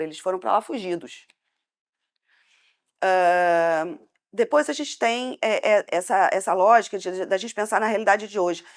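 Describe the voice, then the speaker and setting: A woman with a Brazilian Portuguese accent, speaking as a debate panelist in an impassioned, hesitant way. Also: mid-range voice.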